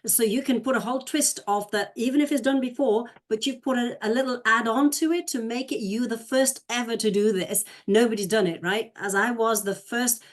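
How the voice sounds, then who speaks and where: A woman dance teacher speaking on a panel, brisk and clear as she lists her points. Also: a little high. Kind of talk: answering a question on a panel.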